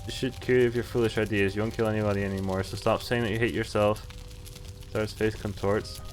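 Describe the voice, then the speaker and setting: A man's voice: lowish voice, level tone, quick pace, poor audio. A man streaming a game, reading visual novel text aloud and commenting in a casual, sweary way. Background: faint game music.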